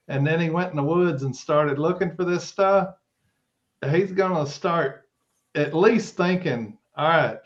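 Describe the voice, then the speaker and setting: A man's voice: on the high side, dull audio. A man talking casually as a podcast guest in a folksy, plain-spoken way. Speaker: podcast guest, Bigfoot investigator. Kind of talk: casual conversational discussion.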